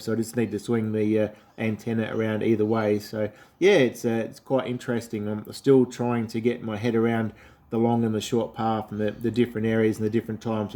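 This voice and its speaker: A man's voice: medium pitch, mellow. An Australian man from South Australia, an amateur radio operator chatting easily through a ham radio contact, with many fillers.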